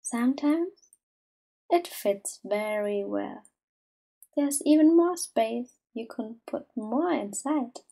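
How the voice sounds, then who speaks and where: Female meditation guide, higher-pitched but mellow, gentle and unhurried, soft to near-whisper. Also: expressive, vocal fry, slightly rough, low-quality recording. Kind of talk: slow soothing guided meditation.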